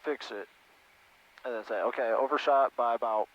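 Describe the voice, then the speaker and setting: A man's voice: mid-range voice, normal speed. A man working as a flight instructor, coaching casually and encouragingly over a headset in a small airplane cockpit. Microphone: aviation headset intercom. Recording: muffled cockpit intercom over engine noise.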